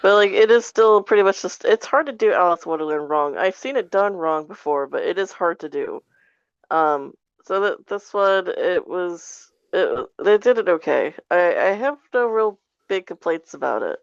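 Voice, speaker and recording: A woman chatting casually on a podcast, a bit halting as she searches for her words. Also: lowish voice, expressive, smooth, no echo, highs cut off. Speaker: podcast panelist. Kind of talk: casual chat.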